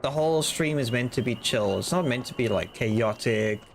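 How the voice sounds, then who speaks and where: A man's voice: mid-range voice, normal speed, a little hiss or hum. A man streaming a game live, chatting loosely and rambling to his viewers and to himself. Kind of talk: casual live-stream chat while playing.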